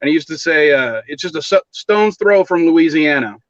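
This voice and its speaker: A man's voice: on the high side, expressive, moderate pace, dry recording, highs cut off. A middle-aged man from Southern California chatting casually and telling rambling family stories. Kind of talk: casual chat.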